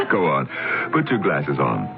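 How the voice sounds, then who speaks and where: A teasing, charming young suitor. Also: male voice, extremely low pitch, huge pitch swings, takes it slowly, gravelly, over music, heavily acted. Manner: teasing, playful. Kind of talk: 1970s radio drama acting. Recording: tinny old radio broadcast.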